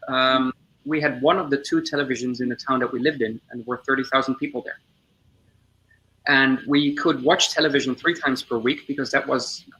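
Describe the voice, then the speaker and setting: Man in his 40s from the Netherlands, bright, forward voice, earnest webinar guest on a video call. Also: medium pitch, rough recording. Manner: earnest, explanatory, builds points step by step. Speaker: guest, social entrepreneur. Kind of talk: webinar storytelling and explanation.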